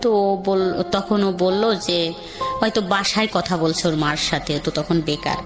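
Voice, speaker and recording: A woman's voice: fairly low pitch, fairly even intonation, unhurried. A woman with a Bangladeshi accent telling her own life story in a conversational, rambling, colloquial way. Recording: music under the voice.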